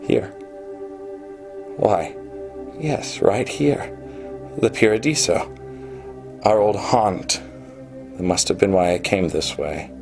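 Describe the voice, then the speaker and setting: An actor as a low-voiced, brooding programmer genius. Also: male voice, big pitch swings, slow, vocal fry, long pauses, music in the background, full character voice. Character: proud, obsessive male programmer genius, haunted and desperate. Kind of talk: radio play acting with confessional narration.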